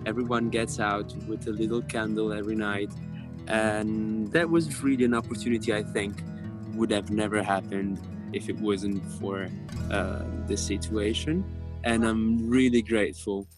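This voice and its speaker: A woman's voice: rumbling low, steady tone, normal speed. A woman recalling her experience with gratitude in a recorded clip. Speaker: interviewee. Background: guitar music.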